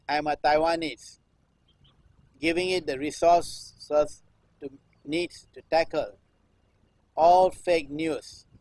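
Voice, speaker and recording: Higher-pitched older man, chant-like reciting. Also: no room sound, poor audio. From Singapore. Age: 60s. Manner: repetitive, chant-like refrains with deliberate phrasing. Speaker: performance artist. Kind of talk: live performance recitation of a political text.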